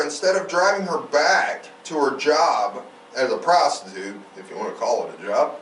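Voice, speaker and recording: A man hosting a true-crime podcast, telling cases informally with emphatic, opinionated commentary. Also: on the high side. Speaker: podcast host. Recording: roomy, distant mic, faint noise.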